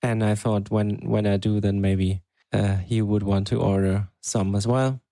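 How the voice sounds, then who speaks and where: A man with a low, gravelly voice chats casually on a podcast, thinking aloud and pausing often. The recording is dry and close, with no room sound. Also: steady tone, mellow, no echo. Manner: unhurried, hesitant, thinking aloud. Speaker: podcast co-host. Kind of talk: casual chat.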